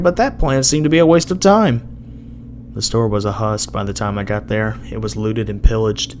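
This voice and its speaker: A man with a gravelly voice giving a halting, dramatic first-person reading of a horror story as an amateur narrator. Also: medium pitch. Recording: noisy amateur home recording.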